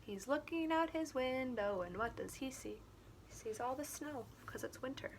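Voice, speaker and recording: A woman reading a story aloud to young children, expressive, with simple explanatory asides. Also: high-pitched, moderate pace, noisy background. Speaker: children's teacher and story reader.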